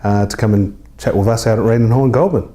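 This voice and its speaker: Very mellow New man, chatting informally. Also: lowish voice, fairly even intonation, fast. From New Zealand. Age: 30s. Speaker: real estate agent being interviewed. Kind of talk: casual interview chat.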